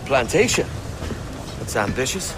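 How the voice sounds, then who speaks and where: A man's voice: medium pitch, very animated, measured pace, noisy background. A man playing a swaggering, wry young pirate captain in a video game cutscene. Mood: wry, scheming. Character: roguish, cocky young male pirate captain. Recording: background music under the voice.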